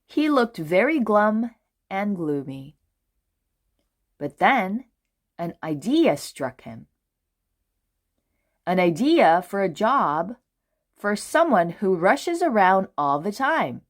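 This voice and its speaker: A woman reading a children's storybook aloud in an expressive, deliberate way with dramatic phrasing. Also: mid-range voice. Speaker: children's book reader.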